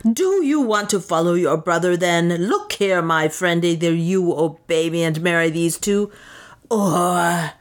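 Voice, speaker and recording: A woman's voice: low voice, big pitch swings, unhurried, full character voice. A woman voicing a brutal, greedy male slave-trader boss in an audiobook, mocking, blustering and commanding. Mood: threatening rage. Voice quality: hoarse when enraged.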